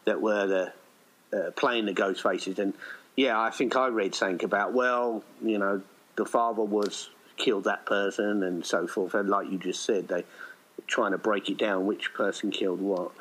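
A man with a fairly low voice talks as a guest in a casual interview. He rambles a little and hesitates, with a modest, self-deprecating way of explaining himself. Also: fairly even intonation, moderate pace, a bit bright, rough recording. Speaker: writer and director, podcast guest.

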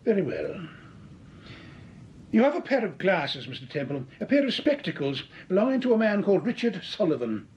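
An actor playing a jovial, needling schemer with a lilt in a 1940s-style radio drama. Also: male voice, high-pitched, big pitch swings, moderate pace, a little mellow, lo-fi sound. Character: smooth-talking scheming man, Patrick Quinn.

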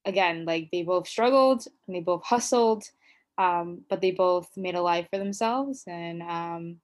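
A woman co-hosting a podcast, telling her own story in a reflective, casual way with many fillers and restarts. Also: on the low side. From United States. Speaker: podcast co-host.

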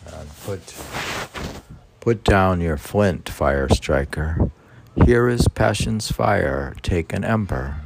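A man hosting an informal poetry podcast, reading aloud haltingly and stumbling over words, with muttered asides. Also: low-pitched, lively intonation, creaky, noisy background.

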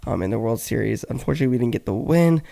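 A young man hosting a casual podcast, his voice a bit hoarse and raspy, chatting loosely with lots of fillers and calling his listeners guys. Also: medium pitch, lively intonation, very fast, mellow. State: slightly hoarse voice. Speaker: podcast host. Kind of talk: casual solo podcast talk.

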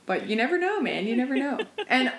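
A woman chats in a loose, rambling way and giggles between thoughts. Her fairly high voice sounds congested. The recording rings with room echo. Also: big pitch swings, a loud crowd. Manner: giggly, rambling, fast bursts. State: stuffed up. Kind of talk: casual chat. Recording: echoey room.

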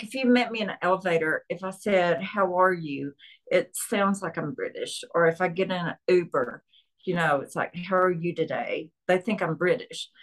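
Woman with a Tennessee drawl, lower register, chatty podcast guest. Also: fairly bright tone, rough recording. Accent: Tennessee Southern. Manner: chatty, friendly, with frequent restarts. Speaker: guest author and historian. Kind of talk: casual podcast interview.

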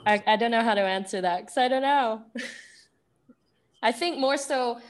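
A young woman from the UK, raised in Asia, with a British accent tinged by Singaporean English, talking things through thoughtfully with many pauses and restarts. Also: on the high side. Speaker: guest. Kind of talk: conversational interview.

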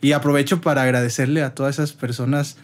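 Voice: mid-range voice, fairly even intonation, quick pace. A Mexican interview host who talks in a chatty, affirming way and often backs the guest up. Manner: chatty, affirming, frequent backchannel. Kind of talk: interview conversation.

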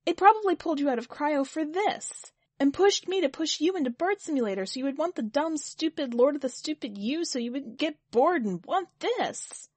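A woman's voice: high-pitched, big pitch swings, a little slow, no room sound, pitched above the performer's own voice, heavily acted. A woman voicing an anxious, stubborn character who blurts out emphatic protests in a story. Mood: agitated pleading.

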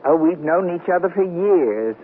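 An actress playing a witty, self-assured middle-aged newlywed wife, teasing dryly in a radio comedy drama. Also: female voice, on the low side, animated, moderate pace, lightly acted. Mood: arch, breezy. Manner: arch, dry teasing. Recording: tinny, noisy old radio broadcast recording.

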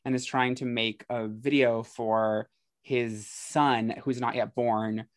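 A man talks as a podcast co-host in a casual, informal way. His pitch is average for a man and he repeats phrases for emphasis. The sound is dry, with little room around the voice. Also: steady tone, slow, dry recording. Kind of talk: casual chat about horror movies.

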